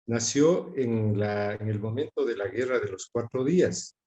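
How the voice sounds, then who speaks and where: A middle-aged man with a Quito Ecuadorian accent, reminiscing conversationally as an interview guest in a reflective, halting way. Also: fairly low pitch, dark tone. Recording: roomy, echoing sound.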